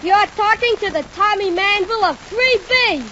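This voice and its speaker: A woman's voice: extremely high pitch, normal speed. A woman playing a cheeky, worldly-wise little boy in a 1940s radio comedy. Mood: worldly, wisecracking. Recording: dull, hissy 1940s radio recording.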